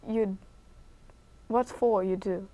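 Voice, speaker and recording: A woman interviewer asking questions in halting English. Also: medium pitch, fairly even intonation, warm tone, lo-fi sound.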